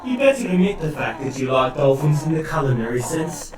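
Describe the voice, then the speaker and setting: Man acting droll dry sidekick, slightly high pitch, distant mic, strong echo, comedy audio drama. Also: very animated, fairly quick, a crowd in the background. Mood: wry. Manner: dry, wry, matey.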